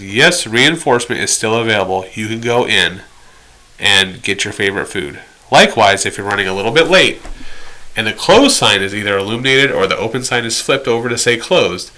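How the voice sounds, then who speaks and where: A man delivers an explanatory training lecture in a slightly low voice with a very sharp, bright edge. He is direct and instructional, working through examples. He drops in conversational asides as he teaches. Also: poor audio. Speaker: instructor in behavior therapy training.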